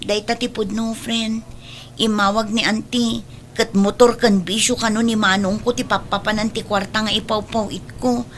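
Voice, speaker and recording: A woman's voice: mid-range voice, flat delivery, normal speed, slight background noise, close to an everyday voice. A woman playing a worried, dutiful young working daughter in an Ilocano audio drama, earnest and anxious, with an Ilocano accent. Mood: resigned, earnest.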